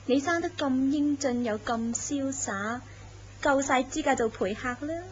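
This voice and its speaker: A sly, knowing mistress, voiced by a woman in an old-style horror radio play, teasing her companion with soft laughs. Her voice sits fairly high. The recording is dull and lo-fi. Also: a little slow, slight background noise. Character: scheming young mistress of a jealous tycoon. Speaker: actress in a horror radio drama. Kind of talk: radio drama acting.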